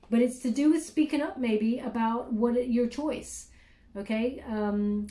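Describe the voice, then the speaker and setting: A woman with a warm, mellow tone gives a casual tarot reading in a roomy, echoing space. She talks in a loose, chatty way, full of fillers and asides, and often checks in with "okay?". Her pitch sits in the middle for a woman. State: clearing throat, voice catching. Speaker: tarot reader.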